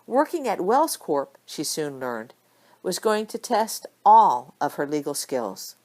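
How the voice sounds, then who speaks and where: A woman reading a story aloud as an amateur audiobook narrator, with expressive delivery. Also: lowish voice. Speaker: audiobook reader of fan fiction.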